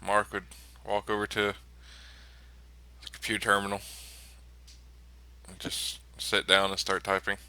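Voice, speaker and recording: Low-ish guy at a game table, super bright clear voice, flat measured delivery.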